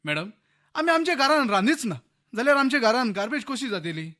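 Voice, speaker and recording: An actor playing a patient, helpful husband and master of the house with a Goan accent in a radio play. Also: male voice, extremely high pitch, sing-song, fairly quick, bright, forceful tone, heavily acted. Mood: reassuring. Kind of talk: Konkani radio play acting.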